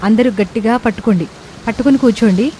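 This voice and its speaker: A woman's voice: a little high, fast talker, close to an everyday voice. A woman acts out a brave young daughter-in-law from a village moral story. She is caring and takes charge, giving reassuring instructions. Faint rain and vehicle noise sit behind her voice. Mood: urgent, reassuring. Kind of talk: Telugu moral story voice acting.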